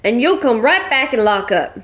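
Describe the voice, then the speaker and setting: An actress playing a blunt, folksy middle-aged widow from the American West, speaking with a Western accent. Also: female voice, fairly high pitch, very animated, normal speed, fairly bright tone. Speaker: Mrs. Gibson.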